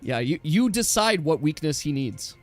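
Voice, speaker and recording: Higher-voiced man running a tabletop game. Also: very animated, moderate pace, faint music behind. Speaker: game master. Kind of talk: casual running of a tabletop role-playing game.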